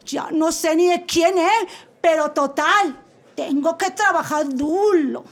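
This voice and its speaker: A woman playing a brassy, high-pitched showgirl. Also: slow pace, heavily acted. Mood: pleading. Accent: Mexican Spanish. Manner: sassy, suggestive pleading. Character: brash adult woman showgirl defendant. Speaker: defendant in a comic court sketch. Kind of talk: comic radio sketch acting.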